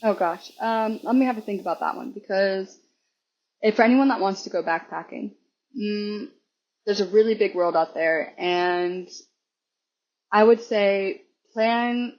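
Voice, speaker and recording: A hoarse woman guesting on a podcast, chatting casually and rambling with restarts and laughs. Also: medium pitch, a little slow. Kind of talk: casual chat. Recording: dull lo-fi recording.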